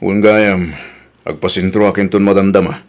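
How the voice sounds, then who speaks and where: Man acting as deep-voiced bossy village captain, Ilocano accent. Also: steady tone, moderate pace. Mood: scheming. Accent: Ilocano Filipino. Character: middle-aged male village captain, bossy, money-minded husband. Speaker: actor in an Ilocano comedy drama. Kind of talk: comedy radio drama acting.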